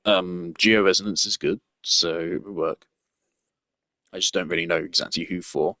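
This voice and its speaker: A man's voice: sits low, fairly even intonation, moderate pace, bright, forceful tone, no echo. Casual, rambling male game streamer. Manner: rambling, thinking aloud. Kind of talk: casual gameplay commentary.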